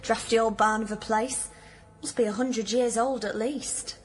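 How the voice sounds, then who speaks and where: An actress playing a down-to-earth hospital nurse in a radio drama, with a Northern English accent and a blunt, teasing, colloquial way of talking. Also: female voice, fairly high pitch, fairly even intonation, unhurried, slight background noise, close to an everyday voice, low-quality recording. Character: down-to-earth hospital nurse, teasing, plain-spoken old friend. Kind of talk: naturalistic radio drama dialogue.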